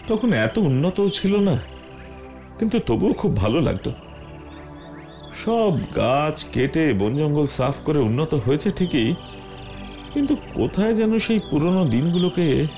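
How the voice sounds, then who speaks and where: A man voicing a curious, eager, polite young nephew in a Bengali cartoon, with an expressive, dramatic delivery. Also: a little high. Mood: nostalgic. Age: young adult.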